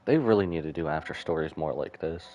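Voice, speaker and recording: A man's voice: a bass voice, fast talker, mellow. A man doing casual live commentary on a game, sentimental and musing aloud to himself as he reacts. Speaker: game streamer.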